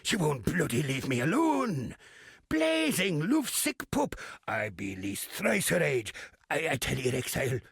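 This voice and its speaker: A crusty old captain for a video game, roguish and grumbling. The voice is heavily gravelled and very hoarse. It swings widely in pitch through theatrical, salty sailor talk. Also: male voice, huge pitch swings, measured pace, a bit bright, dry recording, clean studio sound, exaggerated voice. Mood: flustered, fearful. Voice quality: gravelly, raspy. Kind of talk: video game character acting.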